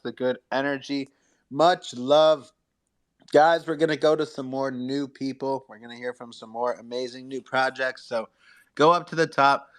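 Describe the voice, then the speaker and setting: A man's voice: medium pitch, a bit bright. A man hosting a live online audio show in an upbeat, promotional style full of hype and encouragement. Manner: enthusiastic, hype-filled encouragement. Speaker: host of an NFT marketing audio show.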